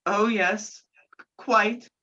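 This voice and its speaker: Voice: mid-range voice, fairly even intonation, very slow, bright, forceful tone, dull audio. A performer playing an earnest, anxious man on the run in a radio play, polite and hesitant. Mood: alarmed. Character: earnest, anxious male fugitive posing as job seeker.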